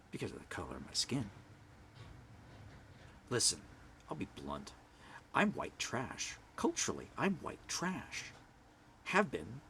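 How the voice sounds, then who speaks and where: A middle-aged man from Canada with a loud voice, ranting bluntly to camera and swinging from hushed whispers to forceful outbursts. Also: medium pitch, breathy edge. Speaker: vlogger. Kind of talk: personal rant to camera.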